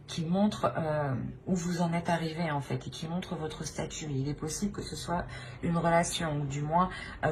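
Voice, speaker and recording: A woman giving an unscripted tarot reading to viewers, hesitant, repeating phrases and often tagging on a "hein". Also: sits low. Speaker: tarot reader hosting her channel. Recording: noticeable background noise.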